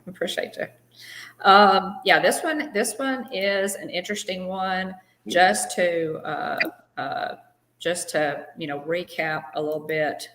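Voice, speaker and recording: A woman with a low, deep voice hosts a casual live stream. She talks chattily, greets viewers by name and pads her sentences with fillers. The sound rings a little in a roomy space. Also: unhurried, a bit bright. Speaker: co-host of a live fan show.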